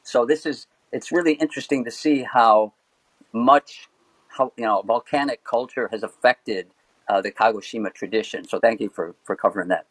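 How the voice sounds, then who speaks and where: Man, thoughtful explainer, medium pitch, dry close sound. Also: moderate pace, dry recording, poor audio. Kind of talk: casual chat.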